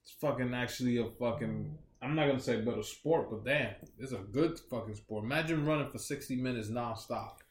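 A man's voice: mid-range voice, brisk. Podcast dude, swears constantly, slangy and blunt, roomy echo on his mic. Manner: brash, profane, slangy. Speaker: podcast co-host. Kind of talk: casual chat.

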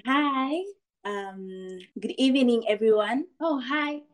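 A woman's voice: on the high side, takes it slowly. A young woman from Zambia, a guest on a podcast, talking in long thoughtful explanations. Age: young adult. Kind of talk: casual podcast chat.

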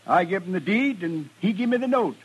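Sly, husky old-west rancher. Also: male voice, high-pitched, expressive, fairly quick, dull audio, heavily acted. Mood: self-righteous. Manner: drawling rustic speech, crafty. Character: sly, folksy male rancher, feigning innocence. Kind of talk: old-time radio western acting. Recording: vintage radio drama recording.

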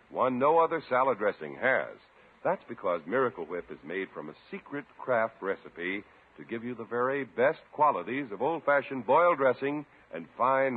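A man's voice: medium pitch, husky, phone-quality sound. An American man working as a 1950s radio announcer, delivering emphatic sales talk. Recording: band-limited old radio recording.

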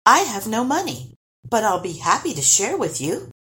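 A woman's voice: a little high, big pitch swings, normal speed, bright, forceful tone, voice pushed up. A woman voicing an earnest, polite young boy in a children's storybook, with a pleading manner. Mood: friendly.

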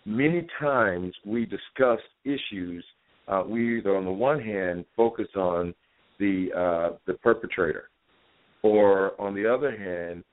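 A man phoning in to a talk show as a caller, speaking formally and in measured, halting phrases, like an academic in earnest discussion. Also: lowish voice. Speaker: caller, university professor. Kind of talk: earnest live call-in discussion. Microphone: phone line. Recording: dull narrow-band phone call.

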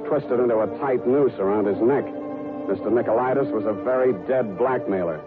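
An actor playing a wry, wisecracking private detective in 1940s radio drama style, with a flippant, casual delivery. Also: male voice, mid-range voice, fairly quick, lightly acted. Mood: wry narration. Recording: muffled old radio recording with music.

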